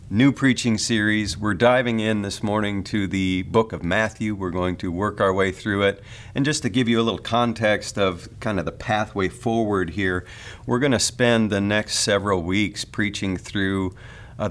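A man with a fairly low voice opens a new preaching series. He talks to the congregation in a friendly, conversational way, like a pastor easing into a sermon. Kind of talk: sermon introduction.

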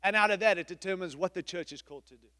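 A middle-aged man, a pastor, preaching a sermon in an emphatic, repetitive style with big swings in loudness. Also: sits high, very fast, big-room sound. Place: church.

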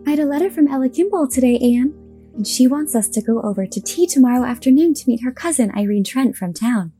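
A woman voicing an excited young woman who talks quickly to her friend. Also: high-pitched, soft background music, pitched above the performer's own voice.

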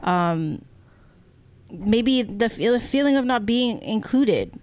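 A woman's voice: a little high, animated, slow, a little mellow, dry recording. A woman co-hosting a podcast, telling stories casually and reflectively, often checking in with the listener. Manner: reflective, often adds "you know" and "right?". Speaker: podcast co-host. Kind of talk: casual conversational storytelling.

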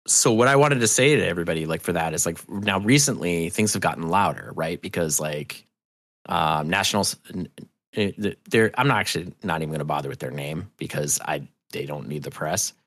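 A middle-aged man from New England giving a casual interview as a podcast guest, halting and thoughtful, often correcting himself. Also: low-pitched, a bit bright, no echo. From New England, United States.

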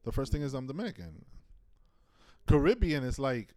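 A man chats loosely on a panel, swearing and restarting as he goes. His pitch sits in an ordinary middle range. The sound is dry and close, with almost no room around it. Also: big pitch swings, normal speed, darkish tone, dry recording. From New York. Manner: loose, profane, restarts a lot. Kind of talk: casual panel chat.

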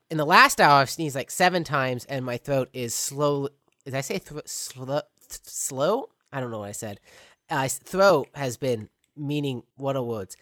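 A man's voice: mid-range voice, sharp tone, no echo, studio quality. A man co-hosting a casual podcast over a remote call, coming down with a cold and stuffed up, rambling and correcting himself as he jokes. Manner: rambling, self-correcting, joking. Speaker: podcast co-host.

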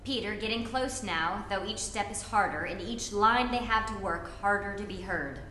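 A woman's voice: medium pitch, normal speed, pushed and bright. A woman reading scripted stage directions as narrator at a live play reading. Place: live performance in a room. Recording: roomy live recording, faint noise.

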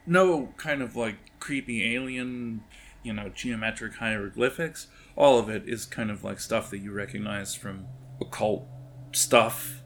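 Gravelly low-voiced man narrating a game. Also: fairly bright tone, slight background noise. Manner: halting, descriptive delivery. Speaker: game master. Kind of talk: improvised tabletop game narration.

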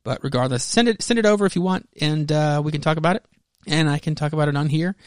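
A man hosting a solo podcast in a casual, rambling, halting way, often correcting himself as he goes. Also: on the high side, clear, resonant tone, dry recording, clean studio sound. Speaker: podcast host.

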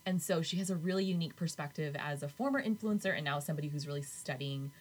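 A woman's voice: low-pitched, fast talker, husky. A young woman co-hosting a podcast, chatting casually with frequent laughs and lots of "like". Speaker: podcast co-host. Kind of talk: casual chat.

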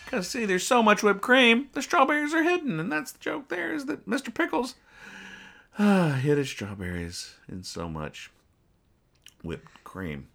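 A man's voice: high voice, huge pitch swings, unhurried, vocal fry, pushed and bright, slight background noise. A man hosting a comedy podcast, casually reading out and explaining comics in a rambling, mock-earnest way. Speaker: podcast host.

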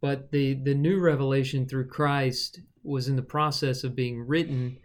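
A man with a middle-pitched voice works through a Bible passage in conversation. He reasons step by step and drives his argument home with rhetorical questions. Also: steady tone, unhurried. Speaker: Bible study podcast co-host. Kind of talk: conversational Bible study discussion.

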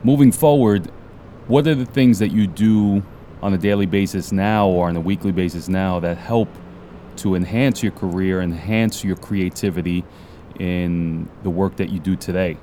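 A man's voice: low-pitched, moderate pace, mellow, slight background noise. A man hosting a podcast, asking questions in a conversational interview style.

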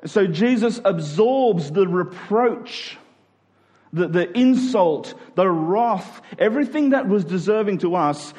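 A man preaching to a congregation in an emphatic but conversational way, stretching key words slowly and slipping into informal asides. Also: high voice, lively intonation, a little mellow. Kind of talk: sermon to a congregation.

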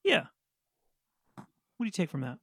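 A man's voice: on the high side, huge pitch swings, normal speed, bright, forceful tone, breathy edge, no echo, studio quality. A man co-hosting a casual podcast, joking and rambling wryly about TV shows. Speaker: podcast co-host. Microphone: studio podcast mic.

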